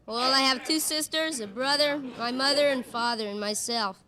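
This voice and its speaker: A man's voice: extremely high pitch, normal speed. A fifteen-year-old boy from Brooklyn giving earnest, short answers as a quiz contestant on a vintage radio show. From Brooklyn, USA. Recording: noisy vintage radio, loud audience. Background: studio audience crowd noise.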